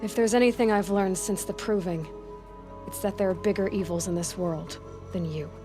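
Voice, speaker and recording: A woman's voice: mid-range voice, moderate pace, natural voice. Woman acting a dry, guarded young huntress over music. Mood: weary, firm. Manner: dry, guarded, plain-spoken. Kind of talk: video game cutscene acting.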